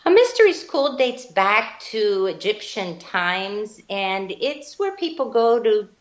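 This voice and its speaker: A woman's voice: medium pitch, sing-song, slow pace. Woman telling her story, lo-fi. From Guatemala. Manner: earnest, reflective storyteller with fillers. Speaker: guest, spiritual teacher. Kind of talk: storytelling interview answers. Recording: dull lo-fi line.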